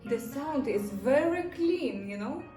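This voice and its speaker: Casting: female vlogger, non-native English, higher-pitched, halting short phrases, unhurried product review delivery, roomy sound. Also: lively intonation, over music. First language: not English. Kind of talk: casual unboxing and product review vlog. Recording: echoey room, distant mic, faint noise.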